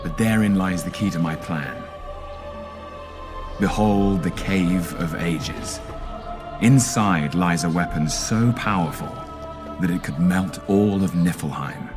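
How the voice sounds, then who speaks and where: An actor playing a sly, scheming trickster god in a video game, measured and insinuating. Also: male voice, low-pitched. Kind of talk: dramatic video game acting. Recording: music under the voice.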